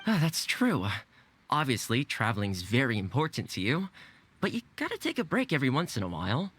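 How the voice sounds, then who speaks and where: Animated, amiable young man. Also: medium pitch, brisk, slightly rough, full character voice. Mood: friendly, caring. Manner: amiable, gently joking. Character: friendly, easygoing young man, well-connected and caring. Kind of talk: video game voice acting.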